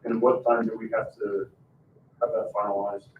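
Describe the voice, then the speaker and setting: A man's voice: fairly low pitch, flat delivery, moderate pace, a little hiss or hum. A man answering carefully and apologetically as a stand-in presenter at a public meeting. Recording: echoey, distant, muffled meeting recording.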